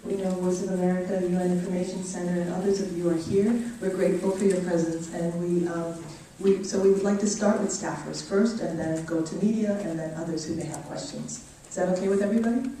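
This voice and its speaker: A woman moderating a formal event, speaking courteously and deliberately to those attending. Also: on the low side, steady tone, dark tone. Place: indoor meeting room. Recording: echoey room, distant mic, faint noise.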